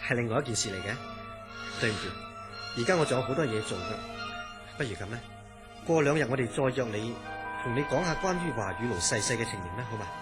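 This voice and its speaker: A man's voice: medium pitch, expressive, fast talker, long pauses, over loud music, lightly acted. A man playing a grave, authoritative hospital director in a Cantonese radio horror drama, with a Hong Kong accent. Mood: grave, measured.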